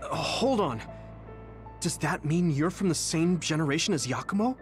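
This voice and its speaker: A man's voice: fairly high pitch, lively intonation, normal speed, soft background music, natural voice. A man voicing an earnest, resolute young squad leader in a video game, speaking seriously. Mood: questioning. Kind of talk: video game cutscene voice acting.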